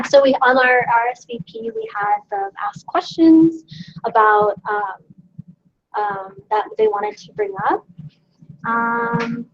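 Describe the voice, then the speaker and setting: A woman hosts a college panel Q&A, upbeat and a little hesitant, with plenty of ums. Her voice sits high and moves a lot, with gravelly fry at the ends of phrases. The mic sound is a touch dull and carries faint hiss. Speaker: panel moderator. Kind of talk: moderating a student Q&A. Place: college presentation event. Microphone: handheld mic.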